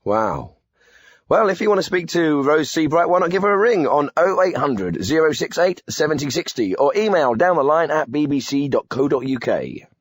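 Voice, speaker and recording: A man's voice: on the high side, sing-song, normal speed, no echo. A man playing an earnest, bumbling English radio phone-in host in a spoof show, hesitant and repetitive.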